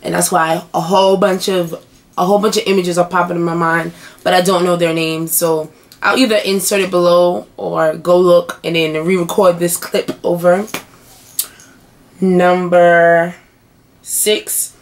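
Young woman, hoarse, gravelly fry, chatty vlog, webcam in a bedroom. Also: lowish voice, measured pace. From United States. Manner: chatty, animated hand gestures. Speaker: vlogger. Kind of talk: casual chat. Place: small bedroom, indoors.

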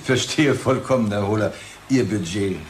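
A lowish-voiced man played by an actor chairs things as a probing, authoritative research boss. It is radio drama, with faint noise behind him. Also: normal speed, close to an everyday voice. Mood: probing, patronizing. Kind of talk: conversational radio drama acting.

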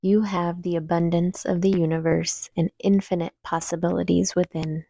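A woman leading a guided meditation with calm, soothing, unhurried instruction. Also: lowish voice, gravelly, no room sound.

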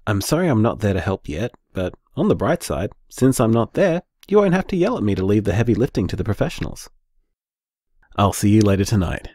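An actor playing a loving, frank and wry young Australian boyfriend in an audio drama told through voicemails. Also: male voice, lowish voice, very animated, fairly quick, mellow, dry recording, studio quality. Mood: bored, affectionate.

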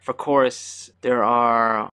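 A man's voice: mid-range voice, steady tone, slow pace, bright, forceful tone, clean tone. Earnest man explaining vocals. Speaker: podcast co-host. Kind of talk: casual podcast chat.

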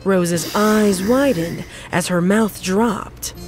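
A woman's voice: medium pitch, drawn-out words, darkish tone. A woman narrating a scripted comedic story as a storyteller. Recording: faint music behind the voice.

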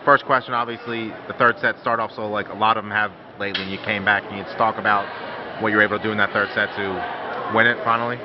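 Quick-talking young reporter, low voice. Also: male voice, fairly even intonation. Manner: quick, scripted intro then casual questions. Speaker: student reporter. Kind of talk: press interview questions. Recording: lo-fi with background noise.

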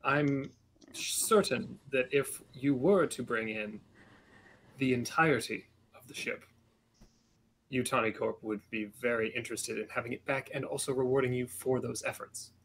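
A man's voice: mid-range voice, normal speed, fairly bright tone, slight background noise, natural voice, rough recording. Man playing a formal, careful spaceship crew member. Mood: measured. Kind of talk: improvised online tabletop roleplay.

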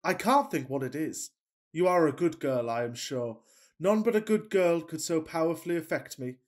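A man's voice: on the high side, lively intonation, moderate pace, natural voice. Pompous young gent , male actor, Victorian farce for radio. Florid, earnest declaiming, easily smitten. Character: pompous, lovestruck, miserly young Victorian gentleman. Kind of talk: farcical period radio play acting.

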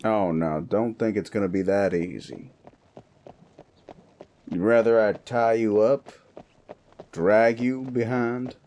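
A man playing a gruff, world-weary cowboy bounty hunter in a Western audio roleplay, with a folksy, threatening yet wry manner. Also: on the low side, steady tone, slow, long pauses, a little mellow, slight background noise. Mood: wary, firm. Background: guitar music.

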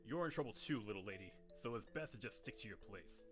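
A woman's voice: rumbling low, lively intonation, quick pace, faint music behind. A woman playing a stern, officious pony royal guard in a cartoon audio drama, commanding and clipped. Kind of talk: animated audio drama acting.

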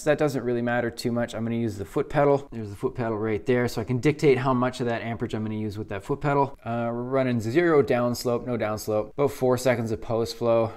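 A man's voice: medium pitch, darkish tone. Chill guy in his 30s walking thru welding basics in his little shop, super casual. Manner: laid-back, chatty, says "pretty simple" a lot. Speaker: welding instructor. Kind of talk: casual workshop tutorial. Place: small home welding shop, indoors.

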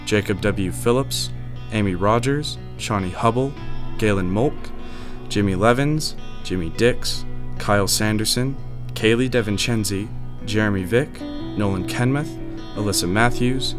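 Low-voiced man announcing credits. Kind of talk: scripted narration.

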